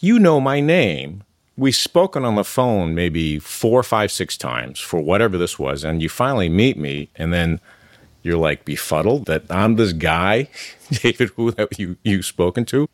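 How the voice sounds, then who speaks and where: A middle-aged man from New York City with no regional accent, calmly telling stories as an interview guest. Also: sits low, measured pace, no room sound, studio quality. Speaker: interview guest, amateur actor. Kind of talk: conversational interview.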